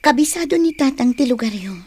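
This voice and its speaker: A woman's voice: high voice, lively intonation, brisk, a little mellow, a little hiss or hum. A woman with an Ilocano accent playing a caring, earnest young tribeswoman in a radio drama.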